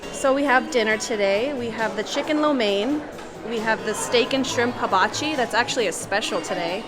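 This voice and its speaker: A woman's voice: a little high, normal speed. A woman casually chatting on a vlog, indoors at a restaurant. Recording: music playing behind.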